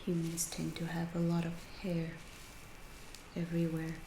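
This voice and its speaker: Woman as curious alien researcher, ASMR roleplay, lowish soft voice, breathy whispers, clinical, deliberate, roomy echo. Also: completely flat delivery. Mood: calm, inquisitive. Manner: slow, deliberate, halting, clinical and detached. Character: calm, curious alien researcher questioning an abducted human. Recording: roomy echo, faint background noise.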